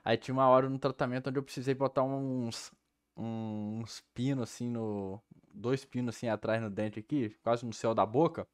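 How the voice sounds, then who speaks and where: A man's voice: medium pitch, steady tone, moderate pace, clean tone, no room sound, clean studio sound. A young man with a Brazilian accent giving casual, slangy commentary as a reaction host on a headset mic. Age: young adult. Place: small room indoors.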